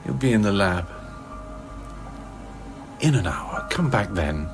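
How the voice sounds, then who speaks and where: Radio drama: cagey, haunted scientist, low creaky half-whisper, halting and ominous, clear music underneath. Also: male voice, very animated, exaggerated voice. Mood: guarded, weary. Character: haunted, cagey male scientist giving cryptic warnings. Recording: music playing behind the voice.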